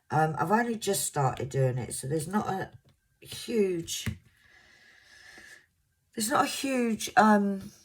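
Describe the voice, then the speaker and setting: A woman in her fifties, originally from Scotland, with a soft voice and a mixed English accent, chatting to viewers in a casual, rambling way and sometimes stumbling over words. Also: sits low, noisy background. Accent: English, mixed southern. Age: 50s. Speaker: crafting vlogger.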